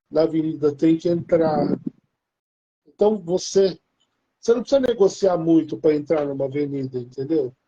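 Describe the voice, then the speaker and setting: Brazilian man for relaxed chat, dark warm tone, meandering delivery, muffled audio. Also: on the high side. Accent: Brazilian Portuguese. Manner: rambling, many restarts and fillers. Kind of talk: casual chat.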